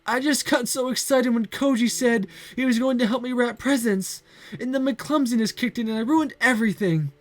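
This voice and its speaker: A man voicing a sweet, clumsy young female Santa who sobs over her broken toys in a high, breaking falsetto. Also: very animated, moderate pace. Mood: tearful. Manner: high breaking falsetto, sobbing and self-pitying. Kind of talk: amateur voiced story reading.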